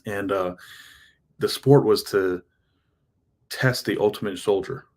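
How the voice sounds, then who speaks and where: A man's voice: fairly low pitch, slow pace, a little mellow. A man in his thirties talking in an earnest, rambling way about his big goals, often restarting his sentences. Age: 30s. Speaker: self-improvement vlogger. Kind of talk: casual motivational monologue.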